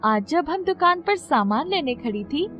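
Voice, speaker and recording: A woman's voice: high-pitched, very animated, measured pace, a bit bright, soft background music, pitched above the performer's own voice, full character voice. A woman voicing an earnest, hopeful teenage daughter in a storybook tale, eagerly explaining herself. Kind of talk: storybook character voice.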